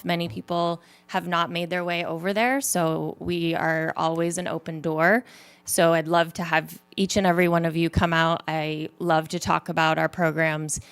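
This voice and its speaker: A woman's voice: on the low side, creaky. A woman from an animal shelter reading a prepared statement to a city council, with small slips and restarts. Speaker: humane society representative.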